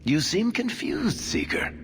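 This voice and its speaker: A man playing a wry, smooth-talking storyteller rogue in a video game, with a conversational delivery. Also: high-pitched, wildly swooping pitch, a little slow, slight background noise, exaggerated voice. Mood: wry, wary. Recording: loud game music behind.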